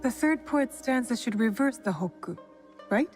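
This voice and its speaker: A woman's voice: on the high side, animated, moderate pace, darkish tone, faint music behind, close to an everyday voice. Guarded, wry young shinobi woman played by an actress. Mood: curious. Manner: cool, direct, restrained. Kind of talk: video game cutscene acting.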